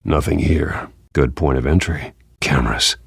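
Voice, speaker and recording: A man voicing a brooding, controlled masked vigilante in a video game, terse and gravelly. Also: very deep voice, measured pace, no room sound, studio quality, full character voice. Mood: grim, focused. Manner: terse, clipped.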